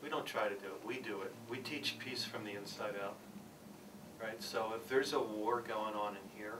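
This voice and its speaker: A man presenting a peace program at a conference, speaking haltingly with many fillers and restarts. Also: on the low side. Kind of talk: conference panel talk. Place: church conference hall. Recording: distant, noisy conference recording.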